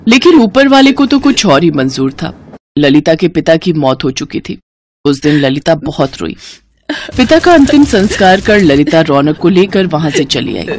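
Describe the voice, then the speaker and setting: A woman narrating a scripted moral story in an expressive storytelling manner. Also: on the low side, slight background noise.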